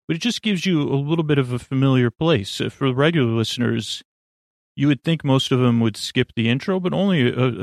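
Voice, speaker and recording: A man hosting a podcast with a rambling bedtime monologue, halting and meandering, often trailing off mid-word. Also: mid-range voice, creaky, no room sound, studio quality. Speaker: podcast host.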